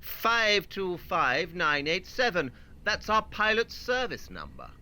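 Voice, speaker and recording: A man's voice: high-pitched, wildly swooping pitch, slow pace, pushed and bright, heavily acted. A man playing a brilliant, imperious detective with a theatrical, pompous and incisive manner in an audio play. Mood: superior, dismissive.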